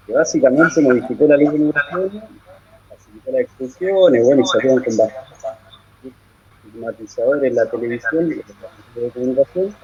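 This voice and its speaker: A man from Chile in his thirties, a guest in a live interview, talking in halting, self-correcting phrases. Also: medium pitch, darkish tone, slightly rough. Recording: dull lo-fi audio.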